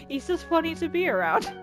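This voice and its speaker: A woman's voice: very high voice, very animated, normal speed, over music. A woman giving casual, sarcastic, sweary video game commentary. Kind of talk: casual let's play commentary.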